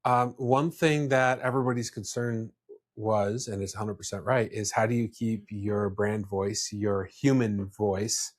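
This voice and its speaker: A man with a fairly low voice talks as a podcast host. His delivery is conversational and plainspoken, with blunt, opinionated phrasing in a casual business discussion. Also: little pitch movement, a little slow.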